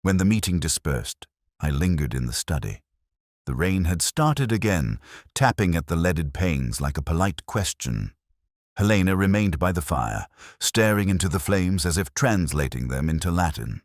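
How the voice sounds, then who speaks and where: A man with an extremely low voice reads first-person detective fiction. His delivery is measured and reflective, with lively rises and falls in pitch. The sound is dry and close, suited to literary storytelling. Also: no echo. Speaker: narrator.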